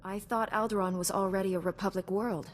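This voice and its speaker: An actress playing a resolute young female knight in a video game, calm, direct and earnest. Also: mid-range voice, a little fast, in a large hall, close to an everyday voice. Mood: composed. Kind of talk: video game cutscene acting.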